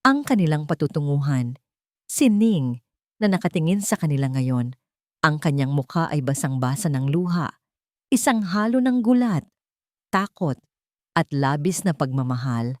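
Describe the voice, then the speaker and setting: A woman narrating a scripted story in a theatrical, suspenseful way with deliberate pauses. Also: sits low, animated, darkish tone, dry recording, studio quality. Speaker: audiobook narrator.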